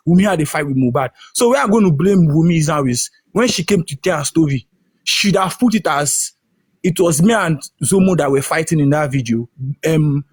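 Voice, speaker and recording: A man's voice: fairly high pitch, slightly rough. A Yoruba-speaking man with a Nigerian English accent, insistently explaining his view in a heated online discussion and often restarting his sentences. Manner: insistent, repeats and restarts, "hold on". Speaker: participant in an online audio discussion. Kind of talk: heated casual debate.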